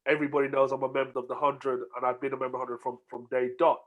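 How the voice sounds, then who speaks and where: A man's voice: mid-range voice, level tone, very fast, narrow, tinny audio. A man hosting an online group discussion over a video call, talking in a conversational, moderating way. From United Kingdom. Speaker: host.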